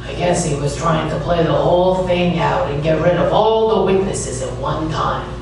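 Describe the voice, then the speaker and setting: A man playing a world-weary, wisecracking private detective in a deadpan 1940s radio noir style. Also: a little high, moderate pace, gravelly, noisy background. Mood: explaining, wry. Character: wry, world-weary male private detective narrating his case. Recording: roomy, distant stage recording with music.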